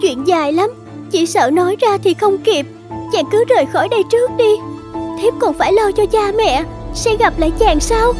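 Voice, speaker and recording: A woman voicing a gentle, pleading young ghost bride in an animated folktale, with polite old-fashioned speech. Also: extremely high pitch, moderate pace. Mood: urgent, anxious. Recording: music under the voice.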